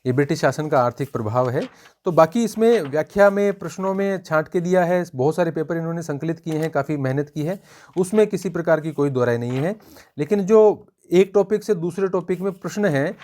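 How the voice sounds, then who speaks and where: A man giving an informal spoken review for students as an exam coach, explaining in a measured way and pointing out examples. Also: on the high side, darkish tone.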